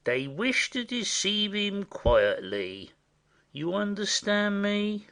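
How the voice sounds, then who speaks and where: A man playing a simple, indiscreet rustic messenger in a classic stage comedy, chatty and confiding in a secretive, halting way. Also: high-pitched, very animated, a bit bright, exaggerated voice.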